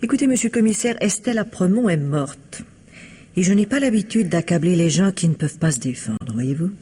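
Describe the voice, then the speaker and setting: A woman acting a reserved, quietly spiteful shopkeeper's wife in a vintage French radio mystery, measured and evasive in manner. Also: fairly low pitch, expressive, a little hiss or hum, natural voice. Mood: reluctant.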